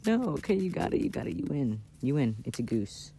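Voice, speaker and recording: A man playing an affectionate, playful boyfriend in a casual, intimate ASMR roleplay, speaking softly and hesitantly, recorded outdoors. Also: mid-range voice, sing-song, fairly quick, creaky. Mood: playful, teasing. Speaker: amateur audio roleplay performer. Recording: outdoor ambient noise.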